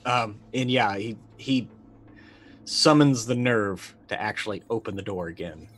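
A man's voice: on the low side, a little slow, a bit bright. A middle-aged man playing a tabletop roleplaying game, casually improvising what his character does, hesitant and muttering as he thinks. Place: indoor room, online video call. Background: faint music.

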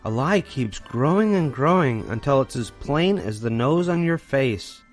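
A man voicing a gentle, wise fairy woman who speaks in a measured, admonishing way in a storybook. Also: medium pitch, expressive, faint music behind, pitched above the performer's own voice, lightly acted. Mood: gently chiding.

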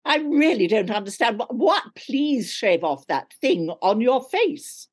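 A woman's voice: a little high, sing-song, a little slow, slightly rough, voice pushed up, full character voice. A woman voicing a haughty, disapproving female winged cat from a game, prim and plummy as a scolding nanny. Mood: indignant. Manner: scolding, imperious.

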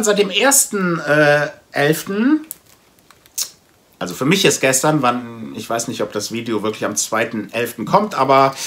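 Higher-pitched man, casual vlogger. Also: a bit bright. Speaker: comic blog video host. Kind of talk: casual haul vlog talk to camera. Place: small indoor room with shelves of comics.

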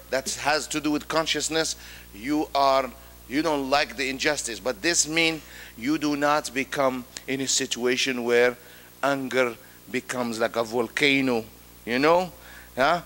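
A middle-aged man giving a Friday sermon as imam, emphatic, rising on key words and dropping low after, quoting Arabic quickly. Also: pushed and bright. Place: large mosque prayer hall. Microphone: stand mic. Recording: long reverb of a large hall.

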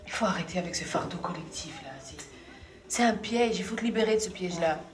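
A woman's voice: fairly low pitch, quick pace, lightly acted. Actress doing bookish arguey woman in a film drama, teasing questions, roomy sound, dishes clinking. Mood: questioning, insistent. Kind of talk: naturalistic film dialogue. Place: dining room at a dinner table. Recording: echoey room, faint music, clinking dishes.

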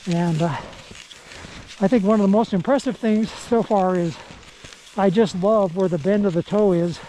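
A man's voice: high-pitched, mellow, slight background noise, poor audio. A man vlogging while cross-country skiing outdoors, chatting in a casual, rambling walk-and-talk. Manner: rambling and unhurried, with restarts. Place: outdoors on a ski trail.

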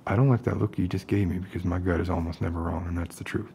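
A man in his thirties doing an ASMR roleplay as an unstable, friendly loner, soft-spoken and rambling in halting mutters. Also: sits low, steady tone, vocal fry, a little hiss or hum. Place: basement-like room with concrete walls.

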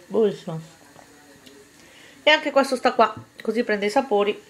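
A woman's voice: a little high, expressive, normal speed. Woman chatting through a home recipe. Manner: chatty, step-by-step instructions to viewers. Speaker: cooking vlogger. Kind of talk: casual cooking demonstration. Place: home kitchen table, indoors.

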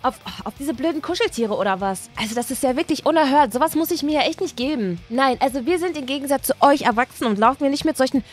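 A woman's voice: sits high, lively intonation, quick pace, hardly any pauses. A woman voicing a snooty, jealous teenage mean girl, scornful and easily offended, in a children's story. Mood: indignant. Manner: scornful, huffy youth slang. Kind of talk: acted toy-figure story for children.

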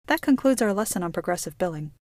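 A woman reading scripted instructional narration for a software tutorial in a measured, explanatory way. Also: lowish voice, animated, no room sound, studio quality.

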